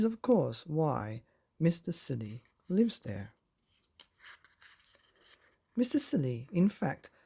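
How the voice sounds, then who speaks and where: A man narrating a children's bedtime storybook in a playful, expressive way, often putting questions to the listener. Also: a little high, vocal fry, husky.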